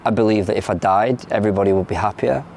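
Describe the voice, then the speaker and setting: A man in his thirties with an English accent from London, born in Ireland, speaking frankly in a candid interview, often pausing and restarting his sentences. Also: fairly low pitch, creaky, darkish tone, slight background noise. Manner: frank and plain-spoken, with frequent hesitations and restarts. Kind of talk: candid personal testimony.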